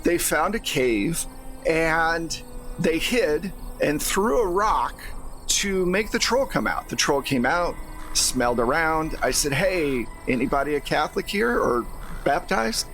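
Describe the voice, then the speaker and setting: A man with a slightly high voice hosts a tabletop roleplaying show over music. He talks casually as game master, recapping the story in a playfully sarcastic way. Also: expressive, a little slow, a bit bright.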